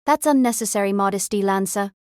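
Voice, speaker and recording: A woman's voice: medium pitch, quick pace, darkish tone, clean tone, no room sound, studio quality, natural voice. A woman playing a proud, courteous young knight who speaks with formal dignity. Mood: courteous, resolute.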